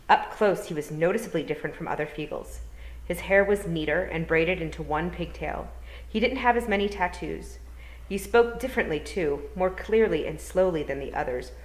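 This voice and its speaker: A woman, a teacher, reading a story aloud and narrating for storytime. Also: low-pitched. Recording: roomy, reverberant indoor sound.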